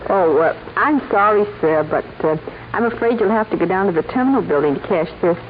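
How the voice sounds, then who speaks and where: A woman plays a coy female booth clerk in 1940s radio drama. The medium-pitched voice lilts with playful hesitation. The sound is narrow and tinny, with a faint hiss. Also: animated, fairly quick, lightly acted. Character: coy flirtatious female check-cashing clerk. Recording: thin, noisy 1940s radio recording.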